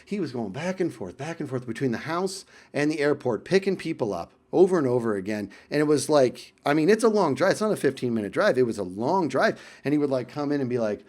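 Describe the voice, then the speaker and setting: An American man tells casual anecdotes as a video creator, in an animated way, chuckling and acting out exchanges with friends. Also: medium pitch, fast talker, hardly any pauses. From United States. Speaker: video creator telling stories about friends.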